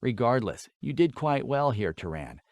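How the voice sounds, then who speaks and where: A man voicing a stern, battle-seasoned father who lectures in a measured, formal way in a fantasy audiobook. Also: mid-range voice, big pitch swings, a little mellow, no room sound, clean studio sound. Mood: stern instruction.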